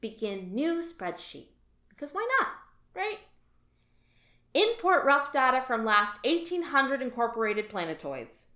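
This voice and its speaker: A woman's voice: high-pitched, very animated, unhurried, sharp tone, echoey room, heavily acted. A woman playing a frazzled alien office worker, exasperated and sarcastic. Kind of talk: audio drama.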